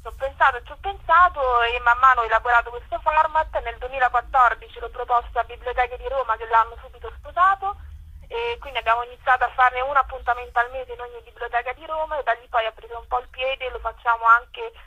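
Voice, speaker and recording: A woman's voice: fairly high pitch, fast, bright, forceful tone, rough recording. A woman speaking as a guest in a radio interview, explaining her project in enthusiastic, long flowing sentences.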